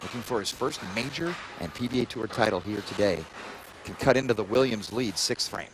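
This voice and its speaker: A man's voice: lively intonation, a little fast. A man calling a bowling match live as play-by-play commentator, his voice climbing on big strikes. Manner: rises in pitch and volume on strikes. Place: bowling center broadcast booth. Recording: loud crowd and arena noise. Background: crowd and bowling alley noise.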